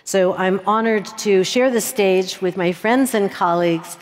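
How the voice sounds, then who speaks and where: A middle-aged woman moderating a conference panel on a large stage, measured and reflective, pausing on fillers, into a headset mic. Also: mid-range voice.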